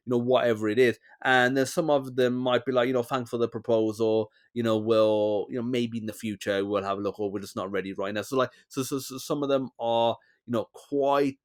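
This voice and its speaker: A man hosting a podcast interview, giving advice in a loose, rambling way with lots of restarts. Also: medium pitch. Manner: rambling, many restarts and "you know". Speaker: podcast host. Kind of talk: casual interview chat.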